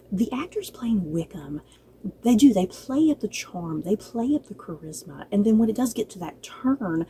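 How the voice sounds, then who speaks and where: A woman's voice: on the low side, warm tone, slight background noise, rough recording. A woman giving a casual, chatty review, speaking in a gushing, emphatic and informal way. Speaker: book and drama reviewer.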